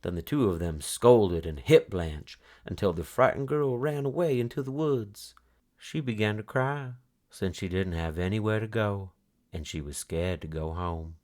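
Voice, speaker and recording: Casting: male children's book narrator, lowish voice, very lively intonation, expressive read-aloud delivery. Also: a little slow. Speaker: storybook narrator.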